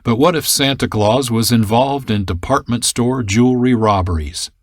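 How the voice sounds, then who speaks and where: A man's voice: medium pitch, normal speed, no room sound. A man reading a scripted radio introduction as the show's host in a studio.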